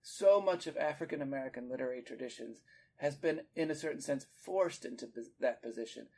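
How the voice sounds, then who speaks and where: A man's voice: mid-range voice, a little fast, vocal fry, a little mellow, a little hiss or hum. A man hosting a literary discussion, explaining like a teacher in an articulate way with emphasis on key words. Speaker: podcast host and literature teacher.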